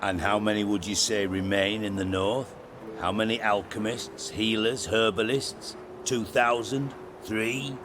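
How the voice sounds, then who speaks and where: A man's voice: lowish voice, fairly even intonation, a little slow, background noise, close to an everyday voice. A man playing a cynical, crude-tongued older spymaster in a video game cutscene, blunt and theatrical in delivery. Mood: earnest, scheming.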